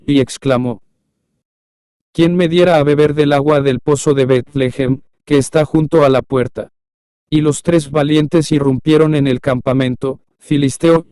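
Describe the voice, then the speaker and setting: A man reading scripture aloud as scripted audiobook narration, in a solemn, measured manner. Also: a little high, mellow, clean tone, no room sound. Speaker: audiobook Bible reader.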